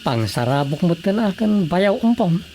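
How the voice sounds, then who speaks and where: A woman's voice: fairly low pitch, big pitch swings, moderate pace, loud music behind the voice. A woman playing a plain-spoken village councilor in a comic radio drama, with an Ilocano accent. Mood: matter-of-fact.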